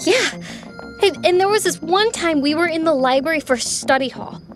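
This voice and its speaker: A woman's voice: extremely high pitch, animated, normal speed, soft background music. An actress playing an earnest teenage schoolgirl in an audio drama, confiding in a friend. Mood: uneasy, earnest.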